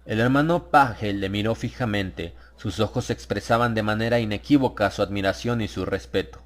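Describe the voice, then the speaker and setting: A man narrating a horror audiobook from a script, in a measured, literary storytelling manner. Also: on the low side.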